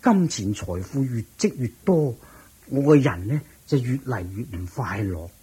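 An actor playing a thrifty, earnest, somewhat naive rich merchant in a radio drama, questioning and hesitant, with a Hong Kong Cantonese accent. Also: male voice, medium pitch, sing-song, measured pace, dark tone, breathy edge, exaggerated voice. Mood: troubled.

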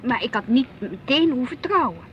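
An actress playing a middle-aged housewife in a radio drama, teasing and gently assertive, questioning and mildly protesting. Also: female voice, on the high side, very animated, normal speed. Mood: wistful, assertive. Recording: dull lo-fi radio play, faint noise.

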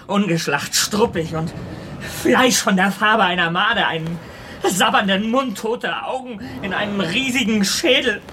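An actor playing an earnest, troubled polar ship captain in a German radio drama, speaking formally and gravely. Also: male voice, high-pitched, bright, forceful tone, a little hiss or hum. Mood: appalled defiance. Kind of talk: German radio drama acting, letter narration.

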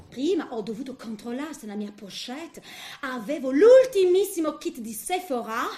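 Vain flirty snob businesswoman, very lively swooping voice, theatrical comic stage monologue, French exclamations, roomy echo. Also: high voice, noisy background, heavily acted. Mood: excited, flirtatious. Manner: theatrical, gushing, peppers speech with French exclamations. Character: vain snobbish flirtatious businesswoman, gushing and callous. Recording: roomy, echoing sound.

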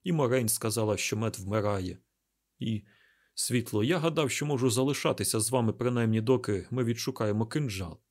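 A man's voice: mid-range voice, brisk, a little mellow, natural voice. A man voicing a weary, troubled young hero in an audiobook, earnest and hesitant. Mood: resigned.

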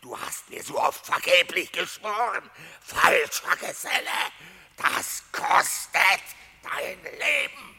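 An actor voicing a vengeful, wounded male wolf in a radio drama, raspy, menacing and accusing. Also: high voice, sing-song, takes it slowly, bright, forceful tone, full character voice. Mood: enraged, accusing. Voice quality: raspy, growling gravel. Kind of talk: classic German radio drama acting, verse.

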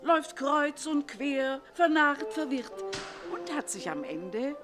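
A woman's voice: high voice, big pitch swings, slow, over music, exaggerated voice. An actress playing a doting, flustered mother delivering verse in a radio comedy.

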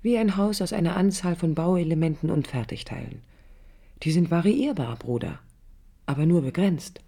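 An actress playing a reflective young woman architect who narrates her life in a pensive, deliberate radio-play monologue. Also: fairly low pitch, animated, mellow. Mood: reflective, yearning. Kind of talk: literary inner monologue, 1980s radio play.

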